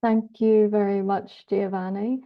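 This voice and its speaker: A woman's voice: mid-range voice, flat delivery, measured pace. Northern Irish woman, slightly dull audio. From Northern Ireland. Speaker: guest speaker, academic. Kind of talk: informal personal presentation.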